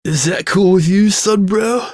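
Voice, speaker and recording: A man voicing a laid-back, slow-witted young male stoner in a storybook reading, with hesitant, drawn-out slacker talk. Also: sits high, darkish tone, no room sound, clean studio sound, voice pushed up. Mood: mellow, pleased.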